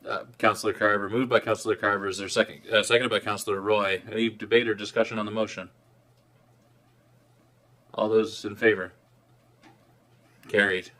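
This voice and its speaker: A man with a fairly low voice chairs a meeting in a council chamber. He calls motions and introduces speakers in a brisk, friendly, procedural manner. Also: flat delivery, fairly bright tone.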